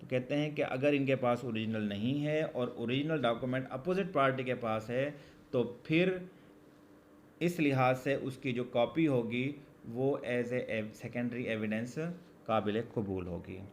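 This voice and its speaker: A man with a Pakistani accent giving a law lesson, reading out formal text and explaining it deliberately, repeating key phrases. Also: mid-range voice, a little hiss or hum. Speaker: law lecturer.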